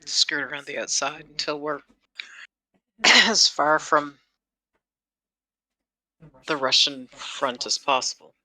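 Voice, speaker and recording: A woman's voice: deep voice, slow pace, long pauses, bright, forceful tone, dry recording, low-quality recording. A woman playing a tabletop game, chatting in character with a dry, laughing manner. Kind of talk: in-character roleplay chat.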